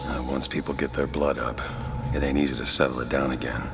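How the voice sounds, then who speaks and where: An actor playing a gruff, weary, plain-spoken private investigator in a period video game. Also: male voice, a bass voice, little pitch movement, fast talker, over music. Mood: guarded. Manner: terse, plain-spoken, folksy. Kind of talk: cinematic game voice acting. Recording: game audio with loud ambient noise.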